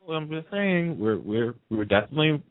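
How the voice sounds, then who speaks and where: A man who works as a radio host, chatting casually and telling fan anecdotes in an excited, dramatic way. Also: a little high, a little fast, dry recording, phone-quality sound.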